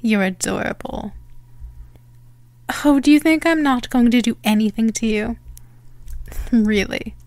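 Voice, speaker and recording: Actress for possessive female vampire, ASMR audio drama. Lightly high voice, very slow, condescending and teasing delivery. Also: darkish tone, no room sound. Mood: smug, menacing. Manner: mocking, condescending, drawn-out delivery. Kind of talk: slow ASMR roleplay monologue.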